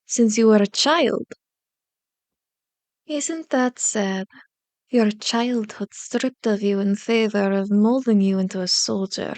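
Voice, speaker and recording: A woman playing a powerful elder vampire in an ASMR roleplay, seductive and taunting, with slow, deliberate, formally worded speech. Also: medium pitch, darkish tone, dry recording, natural voice. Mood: curious, condescending. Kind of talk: ASMR roleplay, scripted monologue. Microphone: close microphone, dry recording.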